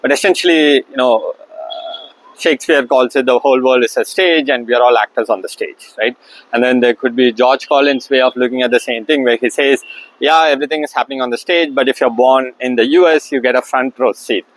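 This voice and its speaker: A middle-aged man talks through his ideas in a loose, reflective monologue. His pitch sits in an ordinary middle range for a man, and he often pauses on "uh" and restarts his sentences. He was recorded on his own camera, set up across the room. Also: poor audio. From India. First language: Tamil. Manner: thinking aloud with many fillers and restarts. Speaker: host.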